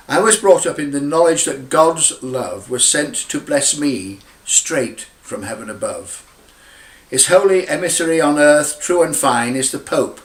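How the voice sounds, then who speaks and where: A man reads a poem aloud as a dramatic monologue. His delivery is slow, solemn and declamatory, set out in short measured phrases. The recording is roomy, with a clear echo around the voice. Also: medium pitch. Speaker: poet reading own poem. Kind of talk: recited dramatic monologue in rhyming verse. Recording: roomy, echoing amateur recording.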